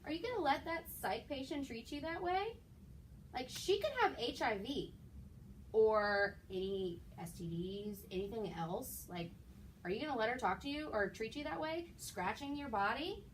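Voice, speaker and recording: A woman's voice: on the high side, animated, normal speed, distant mic, close to an everyday voice. A woman playing a pushy, dismissive devil egging on a nurse in an amateur skit. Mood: scornful indignation. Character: scornful, goading female devil on a nurse's shoulder. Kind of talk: amateur training skit acting. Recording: noisy room.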